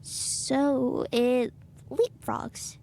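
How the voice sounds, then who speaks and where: Woman acting a high-voiced, curious younger sister. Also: level tone, drawn-out words, creaky, darkish tone, heavily acted. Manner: inquisitive, familiar. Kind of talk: period audio drama acting.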